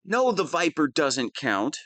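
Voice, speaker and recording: A man's voice: fairly high pitch, very animated, slow, a bit bright, no echo, full character voice. A man playing a whiny, pompous wannabe supervillain who is easily flustered, in a scripted comedic audio roleplay. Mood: defensive, insistent.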